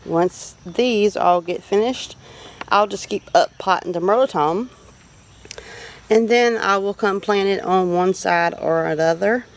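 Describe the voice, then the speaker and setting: A woman's voice: medium pitch, slight background noise. Folksy Southern woman, outdoors with birdsong. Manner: folksy, chatty. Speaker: homesteading vlogger. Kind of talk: casual garden tour narration. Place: outdoors in a home garden. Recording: outdoor ambience with animal noises. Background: birdsong and farm animal sounds.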